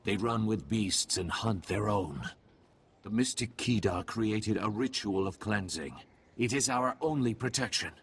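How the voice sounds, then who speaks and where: A man voicing a grave, calm alien mystic in a video game, giving terse, ominous pronouncements in a husky, creaky voice. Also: on the low side, a little slow, heavily acted. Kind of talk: video game cutscene acting.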